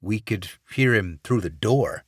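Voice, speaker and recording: A man doing a calm smooth-talking inspector. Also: on the low side, measured pace, no echo, clean studio sound.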